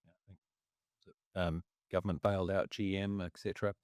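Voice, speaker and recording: Husky-voiced New man, dry close mic. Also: deep voice, flat delivery, darkish tone, dry recording. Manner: halting, frequent throat-clearing. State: losing his voice. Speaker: investment commentator. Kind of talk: casual market discussion.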